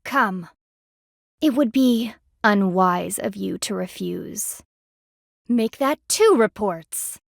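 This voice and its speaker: A woman's voice: mid-range voice, animated, slow, gravelly, no echo, studio quality, heavily acted. An actress voicing a stern, haughty female panda palace enforcer in a video game, formal, commanding and disdainful. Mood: curt, imperious.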